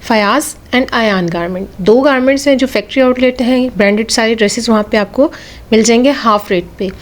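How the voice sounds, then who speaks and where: A Pakistani woman speaks in a fairly high voice that is slightly off from a sore throat. She chats casually as a vlogger, slipping English phrases into her talk. She often repeats a phrase to drive it home. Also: brisk. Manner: chatty, repeats phrases for emphasis. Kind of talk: casual vlog commentary with English phrases mixed in.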